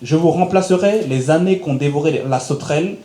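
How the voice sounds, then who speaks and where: A man with a somewhat high voice reads scripture aloud, steady and even. The reading echoes around a church hall. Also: fairly quick, slight background noise. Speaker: scripture reader.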